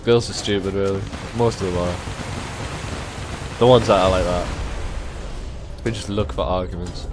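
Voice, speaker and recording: A man's voice: deep voice, steady tone, moderate pace, over music. A young man chatting casually while gaming, telling anecdotes and giving opinions. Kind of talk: casual chat while playing a game.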